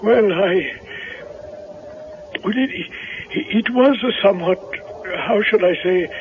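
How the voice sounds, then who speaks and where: Hesitant reverend in vintage radio drama: high voice, fussily precise, halting; tinny recording. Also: male voice, a little hiss or hum. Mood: evasive, flustered. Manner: stammering, hedging, fussily precise. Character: fussy, hesitant, kindly male reverend. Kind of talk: 1970s radio drama acting.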